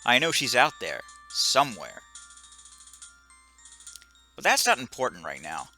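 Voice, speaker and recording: A man's voice: medium pitch, wildly swooping pitch, unhurried, sharp tone, soft background music, heavily acted. A man reading the lines of a pompous young male space cadet who daydreams of being captain, stumbling over some words. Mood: self-important.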